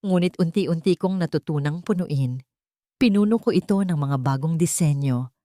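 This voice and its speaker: A woman's voice: sits low, normal speed, dark tone, dry recording. A woman reading a dramatic first-person story as an audiobook narrator.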